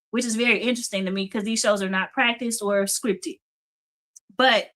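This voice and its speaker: A woman's voice: fairly high pitch, pushed and bright. A middle-aged woman hosting a live faith and business stream, chatty and encouraging, says y'all, greets viewers by name, and pushes through a strained voice she is close to losing.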